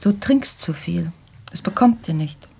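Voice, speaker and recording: A woman's voice: lowish voice, expressive, a little slow, creaky, dark tone, breathy edge, exaggerated voice. An actress playing a wounded, disdainful well-off older wife and mother in a radio drama, speaking in a brittle way. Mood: reproachful. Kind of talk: naturalistic radio drama acting.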